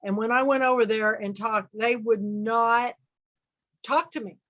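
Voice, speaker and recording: A woman hosting a film club chat, talking loudly and rambling, trailing off with fillers. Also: on the high side, fairly even intonation, a little slow, highs cut off. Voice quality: loud voice. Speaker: book and film club host. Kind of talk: casual group chat.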